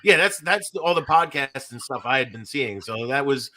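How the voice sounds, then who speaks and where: A man's voice: medium pitch, expressive, moderate pace, pushed and bright. A man hosting a casual podcast, talking in a rambling way that trails off mid-thought. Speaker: podcast host.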